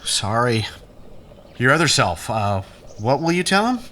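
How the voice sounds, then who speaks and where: A man's voice: medium pitch, very animated, unhurried, bright, forceful tone. A man playing a sardonic, morally challenging character in an audio drama, with a wry, pointed delivery. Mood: accusing.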